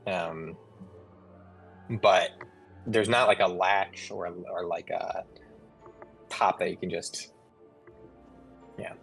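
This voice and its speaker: A man with a fairly low voice acts as game master, improvising descriptions in a casual way. He speaks haltingly, searching for words, with faint music underneath. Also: steady tone, gravelly, fairly bright tone.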